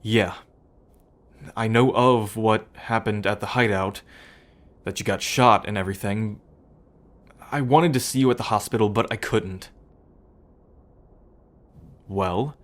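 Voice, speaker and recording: A man playing a young, apologetic brother estranged from the mob, pleading and hesitant, in an audio roleplay. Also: lowish voice.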